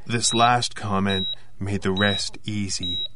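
A man's voice: on the low side, fairly even intonation, takes it slowly, noisy background. An actor playing an intense, guilt-ridden man confessing to murder in an audio drama. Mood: somber confession.

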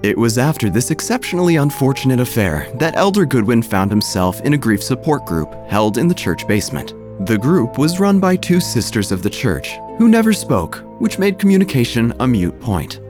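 Male actor as a droll small-town narrator, audio drama, animated intonation, theatrical deadpan phrasing, music behind. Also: medium pitch, normal speed, hardly any pauses, natural voice. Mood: droll, confiding. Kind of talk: scripted comedic narration, storytelling. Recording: music under the voice.

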